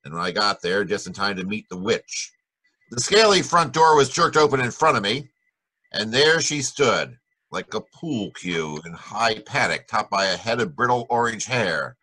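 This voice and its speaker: Hard-boiled detective, acted by a man, husky, animated intonation, wry and tough, vintage radio drama delivery. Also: fairly low pitch, heavily acted, rough recording. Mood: wry narrating. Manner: wry, brisk, tough-talking. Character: hard-boiled, wry male private detective narrating his case. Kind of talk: 1940s radio detective acting and narration.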